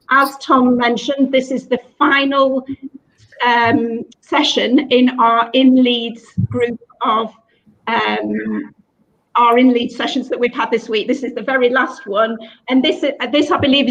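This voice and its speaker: A woman's voice: fairly high pitch, muffled recording. A woman chairing an online panel discussion, upbeat and welcoming.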